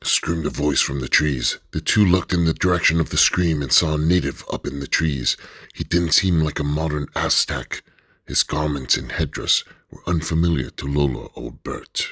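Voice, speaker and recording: A man narrating an adventure radio drama in a measured storytelling manner. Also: extremely low pitch, a bit bright, slightly rough, no room sound.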